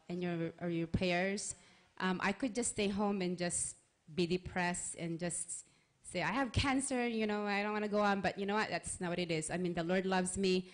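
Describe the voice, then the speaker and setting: A woman speaking earnestly into a handheld mic, sharing a personal testimony before a church congregation. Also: medium pitch. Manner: earnest, conversational, with restarts. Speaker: church member giving a testimony. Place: church sanctuary, in front of a congregation.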